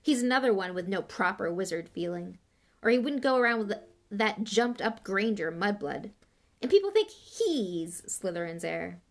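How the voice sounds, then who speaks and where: A woman's voice: medium pitch, lively intonation, normal speed, lightly acted. A woman voicing a spoiled, arrogant schoolboy bully in an audiobook, scornful, impatient and boastful. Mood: contemptuous. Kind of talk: audiobook character voice.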